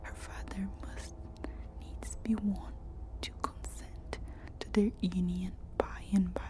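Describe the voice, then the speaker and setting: A woman reading a story aloud as whispered ASMR narration, in short, deliberate phrases. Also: medium pitch. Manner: slow, deliberate delivery in short, separated phrases.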